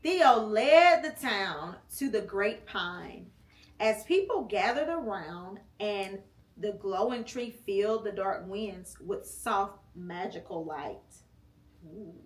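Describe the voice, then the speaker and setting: A woman reads a storybook aloud at a slow, unhurried pace, gently prompting her child between pages. Her voice sits at an ordinary pitch. It carries a noticeable room echo from the home setting. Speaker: parent reading a picture book aloud with her child. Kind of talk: read-aloud storytime and casual chat.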